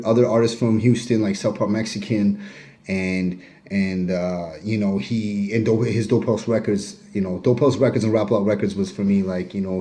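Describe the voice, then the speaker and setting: Middle-aged guy, low mellow voice, rambly and full of you knows, swearing, talking in his kitchen. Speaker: rapper interviewee. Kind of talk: casual self-recorded interview. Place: home kitchen.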